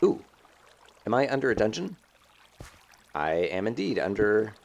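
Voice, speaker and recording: Let's play host, man, lowish voice, slightly stuffy nose, relaxed meandering commentary with fillers. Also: measured pace, vocal fry. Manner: rambling, thinking aloud with many fillers. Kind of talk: casual gameplay commentary.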